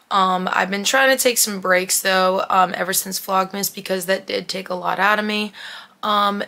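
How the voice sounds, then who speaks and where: A woman's voice: mid-range voice, vocal fry. A young woman in her twenties, vlogging casually to the camera held at arm's length, chatty and swearing casually. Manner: chatty, swears casually, coos softly at animals. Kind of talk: casual vlog chat. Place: indoors in a bedroom, later in a car. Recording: loud background noise.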